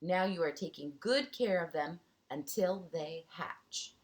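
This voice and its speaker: A woman's voice: lowish voice, slow. A woman voicing a friendly, encouraging male seahorse from a children's storybook, with courteous singsong greetings.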